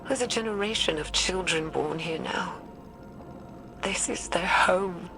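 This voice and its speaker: An actress playing an aging, guilt-ridden woman scientist recording a weary, halting, breathy audio log for a video game. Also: on the low side. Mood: numb grief. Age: older. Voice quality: breathy, whispery. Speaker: researcher recording audio logs. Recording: music behind the voice.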